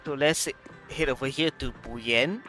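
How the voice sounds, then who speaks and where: Bright-voiced man playing a game. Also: mid-range voice, unhurried, faint music behind. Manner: casual, reads dialogue and narration aloud. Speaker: gaming streamer. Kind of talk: game playthrough commentary, reading text aloud.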